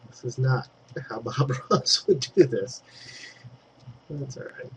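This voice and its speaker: A man's voice: medium pitch, expressive, slow, a little hiss or hum, rough recording. A man with a congested throat giving a casual digital painting tutorial, thinking aloud as he works and dropping in fillers. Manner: chatty, thinks aloud while working, trailing fillers.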